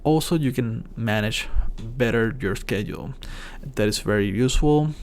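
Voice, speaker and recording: A native Spanish-speaking man with a Puerto Rican accent hosts a casual tech tips vlog in English. His gravelly, creaky voice sits at an average pitch, and he often pauses on fillers and restarts his sentences. A faint hiss of background noise sits under the recording. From Puerto Rico. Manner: hesitant, many fillers and restarts. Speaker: channel host.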